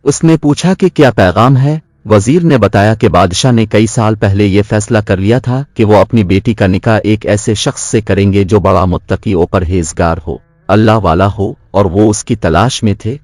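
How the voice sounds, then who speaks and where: A man narrating a scripted moral story as a storyteller, in a measured, earnest way. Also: fairly low pitch, darkish tone, no room sound, studio quality.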